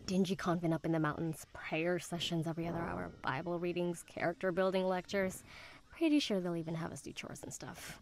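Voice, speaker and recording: A woman playing a blunt, sarcastic teenage girl in a visual novel game, with a deadpan, sardonic delivery. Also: lowish voice, brisk, lightly acted. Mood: blunt, dismissive.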